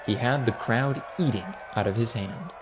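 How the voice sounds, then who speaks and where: A man narrating a comic fantasy audiobook in a lively, scripted storytelling style. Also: medium pitch, moderate pace, slight background noise.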